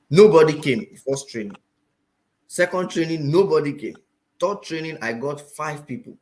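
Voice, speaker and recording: A man with a Nigerian accent, a finance teacher talking as a guest in an interview, animated and often repeating phrases for emphasis. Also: mid-range voice, a little hiss or hum. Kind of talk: interview talk.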